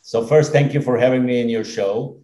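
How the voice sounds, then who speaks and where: A man in his fifties with an Israeli accent, a dentist and company head speaking as a podcast guest in an earnest, halting way with many fillers. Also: medium pitch, fairly even intonation, dark tone, roomy sound. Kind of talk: podcast interview.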